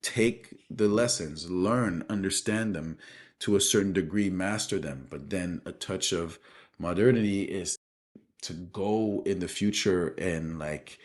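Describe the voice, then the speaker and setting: A man from Benin speaking reflectively in an interview as a guest, thoughtful and halting, often adding "you know". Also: sits low. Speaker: guest, street dancer and choreographer.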